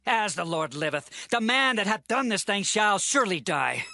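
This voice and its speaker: A man's voice: sits high, big pitch swings, moderate pace, creaky, bright, forceful tone, no room sound, studio quality, exaggerated voice. An actor playing a commanding, passionate ancient king in dramatized scripture, speaking in an impassioned, theatrical way. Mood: outraged. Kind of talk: dramatized scripture audio acting.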